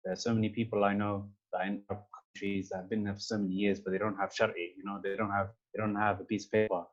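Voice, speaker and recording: A man with a London accent giving his opinions in an informal panel talk, haltingly, often repeating words as he makes his point. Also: fairly low pitch, level tone, lo-fi sound. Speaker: panel speaker.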